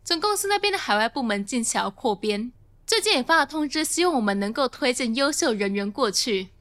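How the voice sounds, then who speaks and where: A woman acting a composed, frank office manager in a radio drama, businesslike and measured. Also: a little high, animated, a bit bright.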